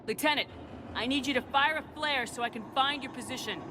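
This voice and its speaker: A woman's voice: high voice, a little fast, background noise, natural voice. A woman voicing a tough, commanding human military commander in a video game, firm, blunt and authoritative. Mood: commanding, urgent.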